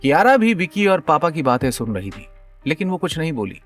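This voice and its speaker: A man's voice: fairly high pitch, big pitch swings, a little fast, soft background music. A man narrating a scripted audio story in a dramatic storytelling style. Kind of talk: dramatic scripted story narration, also voicing a young husband.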